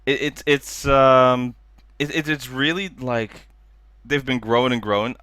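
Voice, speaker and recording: A man chatting casually as a podcast co-host, telling things in a halting, joking way. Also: mid-range voice, sharp tone, clean tone, dry recording. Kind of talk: casual chat.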